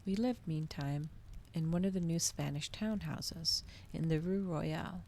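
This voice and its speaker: A woman softly reading a story aloud in ASMR style, hushed and often slipping into a breathy whisper. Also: low-pitched, a little slow, a little hiss or hum. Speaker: streamer reading a novel aloud.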